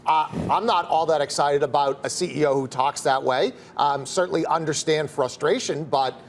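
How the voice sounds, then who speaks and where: A man's voice: fairly high pitch, normal speed, a little hiss or hum. A man with an American accent giving conversational market commentary on a TV trading panel. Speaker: trader panelist on finance show.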